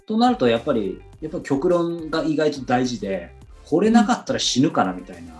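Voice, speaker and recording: Fast, lively man in casual chat. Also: medium pitch. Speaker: podcast interviewer and host. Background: background music.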